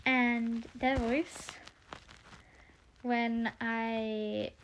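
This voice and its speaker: A young woman with a fairly high voice talks slowly through her cast list late at night, sounding tired and a little croaky. She rambles and stops herself mid-thought in a casual, chatty way. A faint hiss of noise sits under her home mic. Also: level tone, rough recording. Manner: chatty, rambling, self-interrupting. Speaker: audio drama creator.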